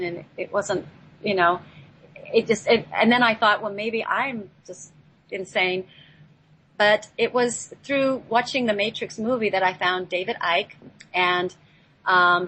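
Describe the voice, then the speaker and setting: A woman telling a personal story on a talk show in a halting, reflective way, picked up by a laptop microphone. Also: mid-range voice, fairly bright tone. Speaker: guest telling their life story. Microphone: laptop built-in microphone, not headset. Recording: noisy, off-mic laptop microphone.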